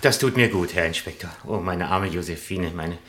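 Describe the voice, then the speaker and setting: An actor playing a meek, earnest, zealously dutiful citizen and abandoned husband in a 1960s German radio play, with a deferential, eager manner. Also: male voice, deep voice, moderate pace, a bit bright. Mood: wistful, earnest.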